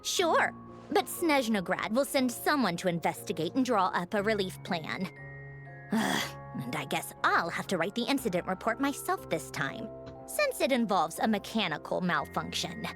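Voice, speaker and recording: A woman's voice: medium pitch, sing-song, normal speed, over music. An actress playing a breezy, teasing female engineer in authority, in a theatrical, self-assured video game performance. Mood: brisk, matter-of-fact.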